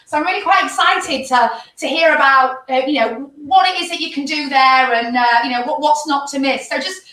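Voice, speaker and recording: Enthusiastic female host, bright voice, roomy and off mic. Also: high voice, brisk, distant mic. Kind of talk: live online show chat.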